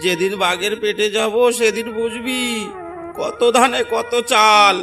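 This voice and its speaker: A man's voice: high-pitched, measured pace, bright, forceful tone, heavily acted. A man voicing a frightened, weary middle-aged fisherman father in a cartoon, fretful and pleading. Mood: fearful complaining. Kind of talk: Bengali cartoon voice acting. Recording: clear background music under voice.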